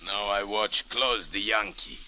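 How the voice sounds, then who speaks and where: Thuggish foreign male henchman, low forceful voice, broken English, vintage radio thriller. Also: unhurried, low-quality recording. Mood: menacing. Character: gruff foreign male henchman, eager for violence. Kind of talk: old radio drama acting. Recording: hissy old-time radio recording.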